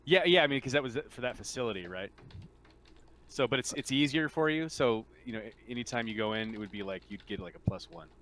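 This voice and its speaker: A man acting as game master, casually explaining rules in a halting way and rephrasing as he goes. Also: medium pitch, lively intonation, a bit bright.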